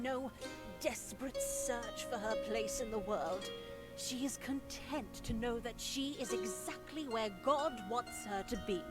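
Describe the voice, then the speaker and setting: An actress playing a stern, pious nun who lectures formally and solemnly, with lingering pauses, in a scripted visual novel. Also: female voice, on the high side.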